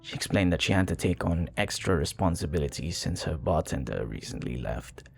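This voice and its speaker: A man playing a charming, courteous, flirty vampire bartender in an intimate ASMR roleplay, speaking in a breathy, gravelly voice that often drops to a whisper. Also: low-pitched, expressive, faint music behind. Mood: wry, confiding. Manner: suave, courteous, teasing, unhurried.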